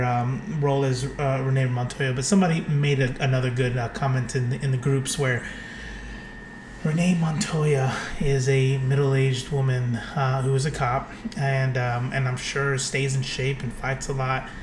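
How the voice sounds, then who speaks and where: A man's voice: medium pitch, level tone, moderate pace, slight background noise. A man in his late thirties co-hosting a podcast, talking casually in a rambling, enthusiastic way. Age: 30s. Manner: enthusiastic, rambling, many fillers. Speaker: podcast co-host. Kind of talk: casual chat. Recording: echoey room.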